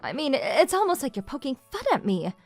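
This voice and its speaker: A woman's voice: sits high, very animated, a little fast, faint music behind. A young woman playing a confident, pushy female CEO who teases her junior in a manga dub romance. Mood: tipsy, sulky. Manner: straightforward, teasing, self-assured.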